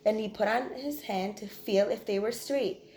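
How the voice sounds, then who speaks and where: A woman reading a children's story aloud with expressive, teacherly emphasis. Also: medium pitch, moderate pace. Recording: roomy echo, faint background noise.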